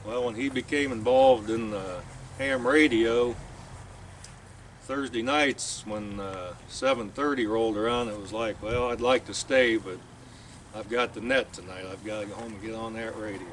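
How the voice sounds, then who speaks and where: Low-voiced man speaking from afar. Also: steady tone, distant mic, slight background noise. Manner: halting, with fillers. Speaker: family member remembering a friend. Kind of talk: short speech outdoors. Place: outdoors at a radio club site.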